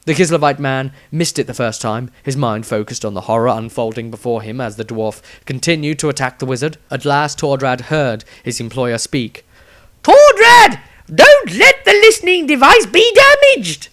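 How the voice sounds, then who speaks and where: A man's voice: mid-range voice, normal speed, few pauses, no room sound. Man narrating a fantasy story, dry close sound. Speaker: author reading own fantasy story. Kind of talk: scripted audiobook narration.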